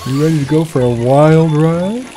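A man's voice: fairly high pitch, moderate pace, soft background music. A young man with a relaxing voice commenting casually on a game, swearing freely and poking fun at himself. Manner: relaxed, profane, self-deprecating rambling. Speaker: let's play host.

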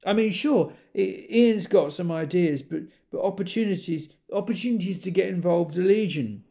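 A man's voice: high-pitched, normal speed, gravelly, dark tone. A man playing a busy, jargon-heavy corporate consultant on a one-sided call in an audio drama, businesslike but hesitant.

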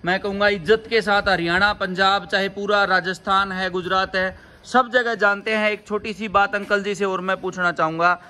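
A man's voice: high voice, fairly even intonation, normal speed, pushed and bright. A man interviewing at a farm, with an agreeable style and frequent affirming interjections. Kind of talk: casual interview.

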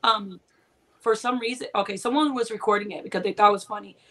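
A woman's voice: mid-range voice, steady tone, quick pace, fairly bright tone. Chatty woman guest on a video call. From Puerto Rico. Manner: chatty, laughs often, tells stories with quoted asides. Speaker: guest cooking and Disney channel creator. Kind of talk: casual chat on a live video call. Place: small home room indoors. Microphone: video call from a webcam.

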